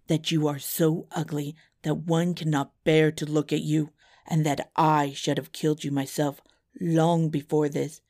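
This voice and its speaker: Stern brave king telling off a villain, read by a woman, low and even. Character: brave indignant young king. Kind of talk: storybook reading.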